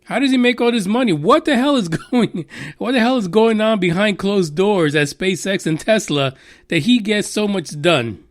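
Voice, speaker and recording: A man's voice: high-pitched, lively intonation, normal speed, dry recording, studio quality. A man hosting a podcast, ranting casually with lots of swearing and rambling asides. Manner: exasperated, profane, rambling. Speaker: podcast host. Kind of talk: casual solo podcast rant.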